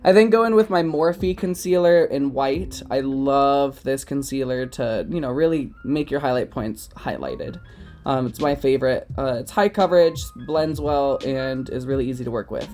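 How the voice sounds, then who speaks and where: A man in his twenties, a drag queen, talking through his makeup routine in a casual, self-mocking way, with lots of ums and quick giggles. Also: medium pitch, expressive, darkish tone, soft background music. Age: 20s. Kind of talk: casual makeup tutorial commentary.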